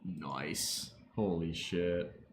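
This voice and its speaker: A man chatting casually to his live stream from home, slipping into sudden excited yelling at a football game. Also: sits low, very slow, a little hiss or hum. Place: home room.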